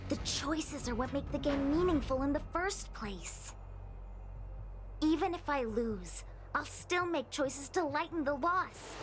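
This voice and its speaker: High-voiced spirited young diviner woman. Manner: earnest, expressive. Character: spirited young female diviner, defiant, loyal, cheeky. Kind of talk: video game voice acting.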